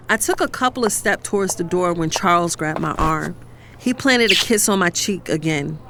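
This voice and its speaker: An actress playing a conflicted, lovestruck professional woman, telling her story in an expressive, confiding, exasperated way for an audio drama. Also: fairly low pitch, slight background noise. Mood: flustered. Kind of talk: dramatized first-person audio fiction narration.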